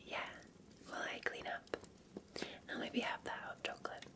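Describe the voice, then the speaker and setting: A Scottish woman whispering gently in a soothing, unhurried ASMR roleplay as a café barista. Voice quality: breathy, whispered. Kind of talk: soft-spoken ASMR roleplay.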